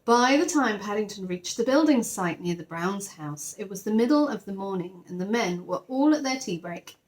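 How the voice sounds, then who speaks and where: A woman's voice: mid-range voice, animated, moderate pace, low-quality recording. A woman narrating a children's story expressively, like a teacher reading aloud to her class.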